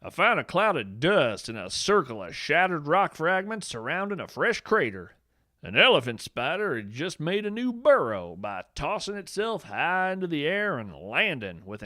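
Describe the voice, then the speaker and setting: Guy doing a folksy creature-loving naturalist, whimsical podcast storyteller, super animated, really dry sound. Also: on the high side, no echo. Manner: folksy, whimsical, earnest turns of phrase. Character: folksy, enthusiastic male field naturalist of fantastical creatures. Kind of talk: first-person storytelling, fiction podcast monologue.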